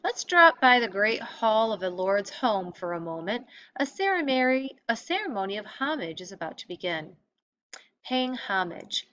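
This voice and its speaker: A woman teacher reading a textbook lesson aloud in an expository way, pausing to stress key terms. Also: mid-range voice, very animated, bright, forceful tone. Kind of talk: read-aloud lesson narration. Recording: dull lo-fi audio with clear background noise.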